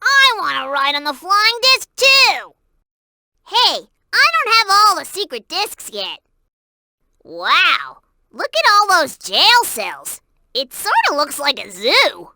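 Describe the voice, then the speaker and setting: Very high childlike game sidekick, cute little creature, piercingly bright, eager, exclamatory, wide-eyed. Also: female voice, wildly swooping pitch, takes it slowly, no echo, heavily acted. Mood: childish wonder. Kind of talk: video game voice acting, hints and exclamations.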